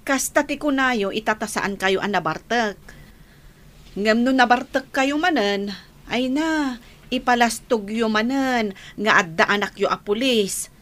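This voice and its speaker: A woman's voice: fairly high pitch, a little slow, fairly bright tone. An actress with an Ilocano accent playing a concerned young woman who scolds her drinking father in a radio drama. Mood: scolding.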